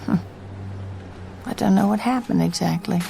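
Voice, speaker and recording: A woman's voice: medium pitch, moderate pace, creaky, over music. An actress playing a weary, anxious young woman motorist in a radio drama, uneasy and confiding. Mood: shaken. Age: 20s. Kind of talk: radio drama acting, confiding narration and dialogue.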